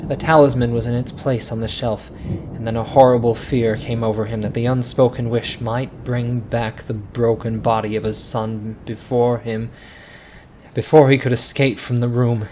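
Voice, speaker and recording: A man narrating a scripted ghost story in a dramatic, suspenseful, deliberate radio style. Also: mid-range voice, level tone, noisy background. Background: music.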